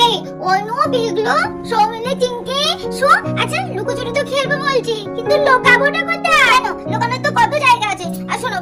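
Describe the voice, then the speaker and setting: Super high squeaky kid doll for a cartoon, bossy n chatty, loud music behind. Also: female voice. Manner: chatty, insistent, repeats phrases. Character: excitable bossy little village doll children at play. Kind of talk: children's doll story cartoon dialogue. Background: children's music.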